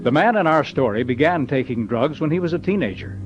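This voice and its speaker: A man introducing a radio drama in a formal, steady announcer style. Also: fairly high pitch, brisk. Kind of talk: scripted broadcast introduction. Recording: lo-fi radio with music under.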